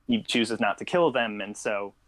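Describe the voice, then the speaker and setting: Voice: medium pitch, normal speed. A podcast co-host talking casually and thoughtfully, with an agreeable, informal manner. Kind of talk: casual discussion of a fan story.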